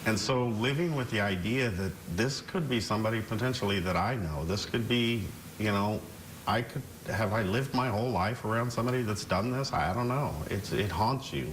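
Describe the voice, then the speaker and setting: American man, middle-aged, deep voice, unscripted interview answers, slight background noise. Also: moderate pace, a bit bright, low-quality recording. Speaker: interviewee, victim's brother. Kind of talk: spontaneous interview testimony.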